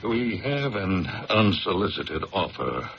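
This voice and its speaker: Skeptical campaign man in a 70s radio play, super low voice, dry and pushy, dull noisy broadcast. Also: big pitch swings, slow pace, a bit bright, full character voice. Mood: coaxing. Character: pragmatic, dryly skeptical political campaign aide. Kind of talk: 1970s radio drama acting.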